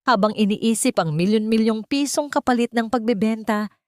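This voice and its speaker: A woman's voice: on the high side, normal speed, no room sound, studio quality. A woman with a Filipino accent reading a dramatic scripted story as a storyteller.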